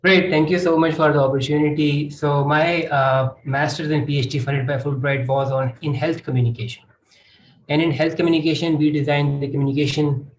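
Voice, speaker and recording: A Pakistani man with a fairly high voice explains his work as an academic on a panel. The room echoes around him, with faint noise behind.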